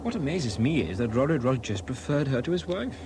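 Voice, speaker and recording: A respectful sergeant in a seventies radio play. He talks quickly at a middling pitch, wry and matter-of-fact. The old broadcast recording is clearly noisy. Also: sing-song. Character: deferential, dryly observant police sergeant. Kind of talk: 1970s British radio drama acting.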